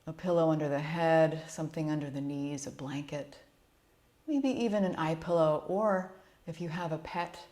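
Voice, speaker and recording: A woman leading a guided yoga relaxation as an instructor, speaking in a soothing, gentle and unhurried way. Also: low voice.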